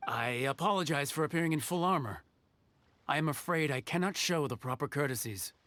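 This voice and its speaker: An actor playing a loyal, dutiful soldier sergeant in a video game, speaking formally and earnestly. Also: male voice, a little high, expressive, fairly quick, bright, forceful tone.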